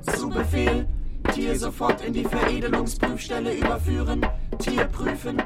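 Robot pickup unit, flat clipped orders, robot filter, loud music behind. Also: female voice, fairly high pitch, normal speed. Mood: cold, commanding. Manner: monotone, clipped commands. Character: cold, relentless robot pickup squad. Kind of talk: children's radio drama acting.

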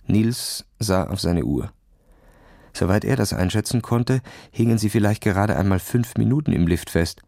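A man's voice: fairly low pitch, expressive, normal speed, vocal fry, a little mellow, dry recording, clean studio sound. A man narrating a scripted children's audio story as its storyteller.

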